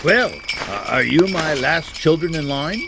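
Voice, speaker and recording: A man playing a kindly, grandfatherly old Santa Claus in a family audio drama, halting and chuckling as he talks. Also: on the high side, animated, gravelly, heavy background noise. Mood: jolly, flustered. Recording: music behind the voice.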